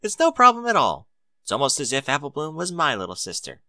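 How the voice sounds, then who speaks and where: A man's voice: fairly high pitch, huge pitch swings, fairly quick, bright, forceful tone, no room sound, voice pushed up, full character voice. A man voicing a studious female pony, helpful and a wry know-it-all, speaking in a precise, bookish way. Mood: encouraging.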